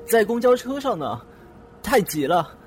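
An actor playing a good-natured, lovestruck young boyfriend with a mock-dramatic manner in a Mandarin radio drama. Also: male voice, sits high, sing-song, measured pace, darkish tone, soft background music. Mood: mock-suffering. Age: young adult.

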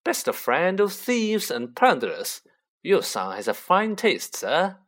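A man's voice: sits high, sing-song, takes it slowly, fairly bright tone, voice pushed up, full character voice. A man voicing an oily, servile and sly shopkeeper with an obsequious, deferential manner in a storybook reading. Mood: fawning. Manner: obsequious, eager to please, repeatedly deferential.

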